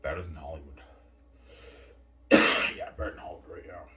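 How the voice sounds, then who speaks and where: A man's voice: a bass voice, steady tone, moderate pace, bright, forceful tone. A man in his thirties rambling boastfully in a casual monologue, tagging "right?" and "obviously" onto his points. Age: 30s. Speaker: channel host. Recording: distant mic with faint noise.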